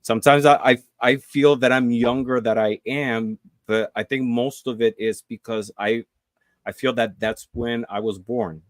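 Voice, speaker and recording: A man's voice: medium pitch, steady tone, a little slow, no room sound, studio quality. A man, a guest telling his story in a casual interview, earnest and full of fillers and restarts. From Puerto Rico.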